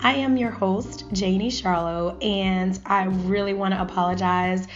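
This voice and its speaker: A woman hosting a podcast, her voice a little raspy and hoarse today. She talks with eager enthusiasm and the occasional hesitant filler, at a middle pitch. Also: fairly even intonation, measured pace, lo-fi sound, faint music behind. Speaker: podcast host.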